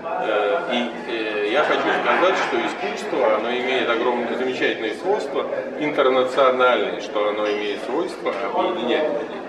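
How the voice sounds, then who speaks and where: A man, a visiting painter, speaking hesitantly with many pauses and fillers. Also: mid-range voice. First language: Russian. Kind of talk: speech to a camera at a fair. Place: large art fair hall, indoors. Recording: echoey fair hall, camera far off, crowd behind.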